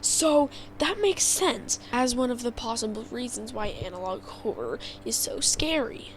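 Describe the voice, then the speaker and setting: A woman narrating a casual video explainer in a halting, rambling, conversational way. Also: on the high side, animated, a little hiss or hum, poor audio.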